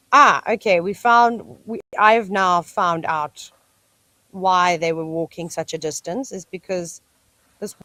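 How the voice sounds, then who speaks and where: A woman's voice: on the low side, fairly bright tone, no room sound. A woman with a South African accent hosting a live wildlife stream, chatting enthusiastically and talking to the animals on screen. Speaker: wildlife livestream host. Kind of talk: live nature commentary. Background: cicadas screeching.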